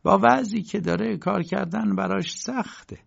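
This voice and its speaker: A man voicing a fretful middle-aged landlady who pleads in a high, drawn-out voice. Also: vocal fry, slightly rough, exaggerated voice. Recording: dull lo-fi recording.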